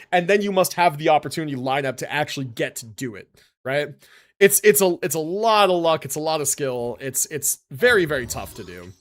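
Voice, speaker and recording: A young man chatting on a live stream, his slightly high voice swinging up and down as he rambles along. He keeps tagging his sentences with "right?" and "like," and sometimes runs his syllables together. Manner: animated, rambling, says "right?" and "like" often. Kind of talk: casual live-stream chat.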